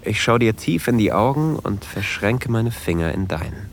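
Actor as introverted male traveler, very low voice, intimate hesitant delivery, romantic audio drama, clear background noise. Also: animated, normal speed. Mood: intimate, longing. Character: introverted, flustered male train traveler narrating a romantic encounter.

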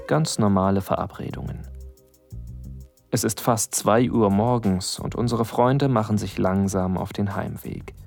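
Low, gravelly male best friend, smitten. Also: darkish tone. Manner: addresses the listener as you, musing. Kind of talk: intimate first-person erotic audio drama narration.

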